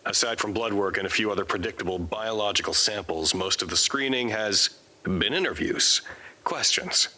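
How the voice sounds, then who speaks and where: An actor as a deep, weary, precise quarantined man. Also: pushed and bright, poor audio. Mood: resigned, measured. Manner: measured, formal, articulate. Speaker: narrator character. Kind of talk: audio drama monologue.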